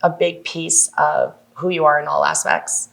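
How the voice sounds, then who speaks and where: A woman's voice: deep voice, steady tone, unhurried, creaky. A woman with a Canadian accent hosting a casual interview, informal and affirming, using plenty of slangy interjections. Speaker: podcast host.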